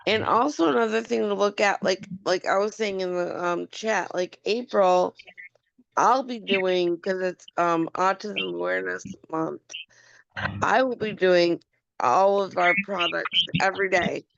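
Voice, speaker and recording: A hoarse woman on a group call casually giving planning advice, chatty with many ums. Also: medium pitch, unhurried, fairly bright tone, no echo, highs cut off. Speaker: call participant.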